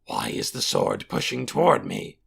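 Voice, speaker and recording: Fantasy audiobook monster guardian: very gravelly, forceful, proud and coldly disdainful, formal delivery. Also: male voice, lowish voice, wildly swooping pitch, heavily acted. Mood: puzzled. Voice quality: raspy, gravelly, harsh. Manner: deliberate, haughty, formal. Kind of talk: audiobook character voice.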